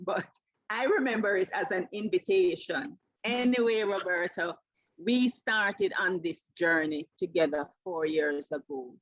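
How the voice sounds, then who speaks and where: A woman's voice: medium pitch, no room sound, highs cut off. A woman in her fifties with a Jamaican accent, telling her personal story conversationally as an interview guest. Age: 50s. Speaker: interview guest, keto coach.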